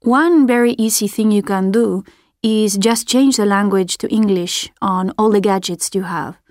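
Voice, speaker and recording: A woman reading a scripted language tip in a clear, friendly, even manner. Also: medium pitch, unhurried, dry recording, studio quality. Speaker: learner giving a tip. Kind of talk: scripted textbook audio.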